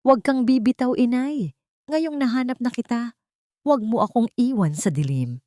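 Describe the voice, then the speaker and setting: A woman's voice: fairly high pitch, expressive, moderate pace, mellow, dry recording, voice pushed up, close to an everyday voice. An anguished grown son, acted by a woman narrator, pleads in sorrow. It is melodramatic audio storytelling, recorded dry. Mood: desperate, pleading.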